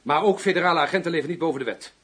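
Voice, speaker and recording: Sharp, high-voiced, zealous prosecutor. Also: male voice, rapid-fire, slightly rough. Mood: probing, insistent. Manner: probing, insistent, accusatory. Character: sharp, zealous public prosecutor out to topple the federal police. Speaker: actor in a radio play. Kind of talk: Dutch radio drama dialogue.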